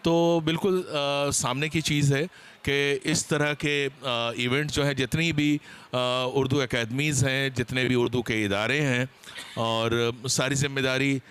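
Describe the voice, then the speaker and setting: A man speaking as a panelist at a seminar, explaining his points in a deliberate, hesitant way. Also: mid-range voice, steady tone, a bit bright, clean tone.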